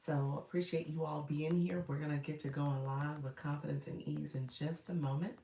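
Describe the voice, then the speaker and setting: Low, gravelly, congested woman streaming live. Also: fairly even intonation, normal speed, dark tone, a little hiss or hum. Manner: chatty, repetitive welcomes with frequent fillers and throat-clearing. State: congested from a cold, froggy voice. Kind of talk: casual live-stream chat to viewers. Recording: roomy echo.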